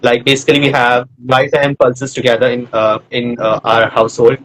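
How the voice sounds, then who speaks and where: A man's voice: medium pitch, flat delivery, moderate pace, fairly bright tone, low-quality recording. Chatty male interviewer from North India. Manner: chatty, friendly, rambling with fillers. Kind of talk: live online interview.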